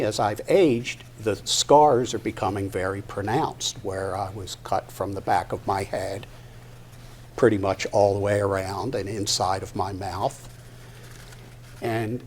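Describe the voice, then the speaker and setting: Older man speaking slowly, haltingly. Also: lowish voice, a little mellow. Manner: halting, deliberate, with pauses mid-sentence. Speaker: guest speaker at a patient discussion event. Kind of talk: personal testimony to an audience. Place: live discussion event before an audience. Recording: noticeable background noise, live event.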